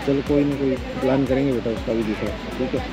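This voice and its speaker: A man chatting as he films a morning beach walk on his phone, pointing things out to the camera with excited exclamations. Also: medium pitch, quick pace, rough recording. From Haryana, India. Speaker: travel vlogger. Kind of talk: casual walk-and-talk vlog narration. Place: outdoors on a beach. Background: loud background music.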